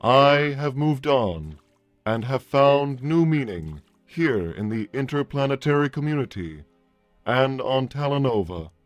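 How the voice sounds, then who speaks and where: A man playing a formal robot peace consul with stilted, deliberate phrasing and a wounded, bitter temper, in a livestreamed roleplay. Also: medium pitch, expressive, no room sound, soft background music, lightly acted. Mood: measured. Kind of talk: improvised character roleplay on a livestream.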